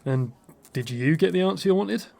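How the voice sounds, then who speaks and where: A man's voice: high voice, lively intonation, moderate pace, vocal fry, a little mellow. A man playing a polite, cautious adventurer in a fantasy audio drama, courteous and a little tentative. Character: polite, cautious male adventurer interviewing recruits.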